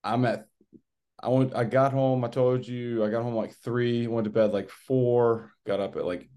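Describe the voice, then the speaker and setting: A hoarse man in his twenties hosting a casual sports podcast, talking in an excited, rambling way. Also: medium pitch, normal speed. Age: 20s. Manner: excited, rambling fan talk. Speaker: podcast host.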